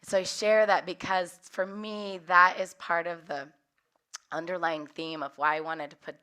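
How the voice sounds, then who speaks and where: A young woman telling stories to a workshop group. Her voice sits a bit low but sounds bright and forceful, with a hoarse, slightly cracky edge from getting over a lost voice. She speaks openly and laughs often. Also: flat delivery, measured pace. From Central Valley of California. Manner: warm, open storytelling with frequent laughs. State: hoarse, recovering from losing her voice. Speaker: workshop leader. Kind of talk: personal storytelling talk.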